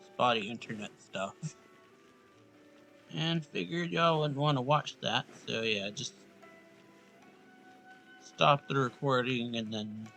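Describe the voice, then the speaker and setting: A man's voice: mid-range voice, pushed and bright. A man giving offhand, rambling let's play commentary as a gaming streamer.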